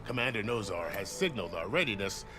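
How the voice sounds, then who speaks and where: An actor playing a genial, wry second-in-command army officer in a video game, courteous and gently teasing. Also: male voice, lowish voice, big pitch swings, quick pace, noisy background. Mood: wry, explanatory. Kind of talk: video game cutscene acting. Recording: music behind the voice.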